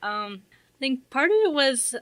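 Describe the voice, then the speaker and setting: A woman's voice: high voice, animated, measured pace, noisy background. A woman chatting casually as a radio guest, self-effacing and rambling as she talks. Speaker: interview guest, volunteer radio host. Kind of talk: casual chat. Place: community radio studio.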